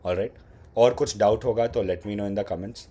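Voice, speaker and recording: A lower-pitched man with a slightly sore throat talks through exam strategy in a relaxed, conversational way. He keeps switching between Hindi and English phrases in an Indian English accent. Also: unhurried, husky. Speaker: exam prep YouTuber. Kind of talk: casual exam strategy talk.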